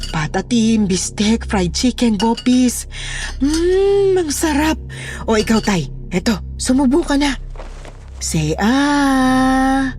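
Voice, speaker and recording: A woman's voice: on the high side, a little mellow. Woman acting unhinged, wildly swinging menacing daughter. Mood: sinister sweetness. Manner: theatrical, swinging from eerie sweetness to rage. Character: unhinged, menacing adult daughter taunting her father. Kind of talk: horror audio drama acting.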